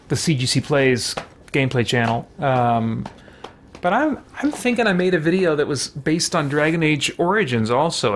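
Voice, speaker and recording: Man rambling through gameplay, noisy background. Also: medium pitch. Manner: rambling, thinking out loud. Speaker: gaming channel host, streamer. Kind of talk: casual live gameplay commentary. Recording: noticeable background noise.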